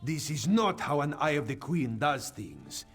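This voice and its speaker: A man voicing a sly male cat-folk spy in a game, who talks about himself in the third person in a formal, measured way. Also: fairly high pitch, wildly swooping pitch, vocal fry, soft background music, full character voice. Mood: disapproving.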